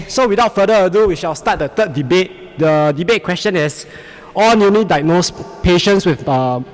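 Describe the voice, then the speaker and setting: A man moderating a medical debate, setting ground rules in a brisk, instructive way with repeated words. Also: fairly high pitch, in a large hall, rough recording.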